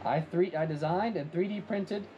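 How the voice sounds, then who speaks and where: A man's voice: high voice, normal speed, highs cut off, slight background noise. A man hosting a hobby prop-making video, explaining his build in a casual, chatty, enthusiastic way.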